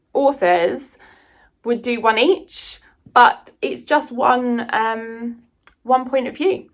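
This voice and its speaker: A woman's voice: on the high side, fairly bright tone. A British woman chatting casually and enthusiastically to viewers in a rambling book vlog. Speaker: book vlogger and writer.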